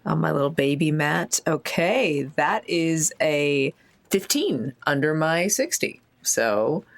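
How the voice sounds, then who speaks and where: A woman's voice: low-pitched, sing-song, takes it slowly, creaky. A woman chatting informally as a player in a tabletop role-playing game, with loose, hesitant phrasing. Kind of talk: casual game chat.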